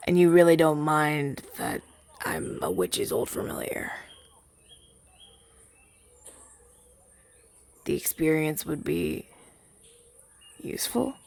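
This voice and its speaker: Guy doing a earnest lil crow familiar, hesitant n rambly, bit gravelly, voice goes up and down a lot, asmr roleplay. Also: mid-range voice, sing-song, a little slow, darkish tone. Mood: hopeful, eager. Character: shy, earnest rogue crow familiar, eager to serve.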